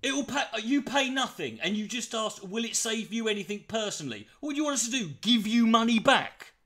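A man's voice: very high-pitched, brisk. A man with an Irish accent giving a profane, mocking reaction commentary from home. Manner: mocking, swearing, animated.

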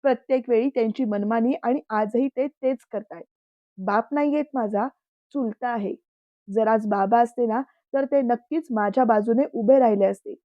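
A woman's voice: medium pitch, unhurried, dry recording, natural voice. Woman voicing headstrong young guy, heated and insistent, dull dry sound. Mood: angry. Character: defiant young man in love, hot-tempered.

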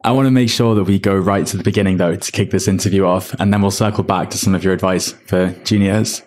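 Male host, thirties, lowish voice, friendly questions, boom mic. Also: steady tone, fast, a little mellow. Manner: friendly, asks open questions. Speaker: podcast host. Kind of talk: interviewing. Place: home room with plant. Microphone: studio mic on boom.

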